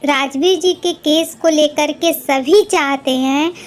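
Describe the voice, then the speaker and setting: A woman vlogger giving informal gossip commentary in a halting, repetitive way. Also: high voice.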